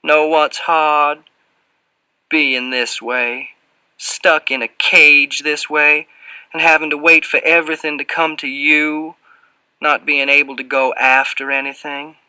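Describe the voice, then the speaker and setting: A man voicing a huge, sly, taunting fat man with a slow, insinuating Southern drawl in an audiobook. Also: fairly high pitch, flat delivery, sharp tone, smooth, no echo, voice pushed up, heavily acted, poor audio. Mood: taunting, self-pitying. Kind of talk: audiobook character voice.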